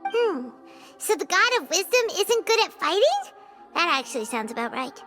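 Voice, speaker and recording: A woman voicing a small, cheeky, excitable fairy-like companion with a squeaky childlike voice in a video game. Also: moderate pace, soft background music, full character voice. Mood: curious, amazed. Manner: refers to self by name, exclamatory.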